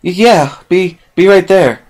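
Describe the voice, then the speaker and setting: Guy doing a loud brash pony girl, young tomboy filly, high strained voice, storybook reading. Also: very animated, normal speed. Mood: flustered.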